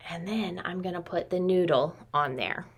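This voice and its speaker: A woman's voice: lowish voice, normal speed, husky. A woman in her thirties, a preschool teacher, talking simply to young children through a craft demonstration at home. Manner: simple, child-directed talk, addressing listeners as friends. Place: small room at home.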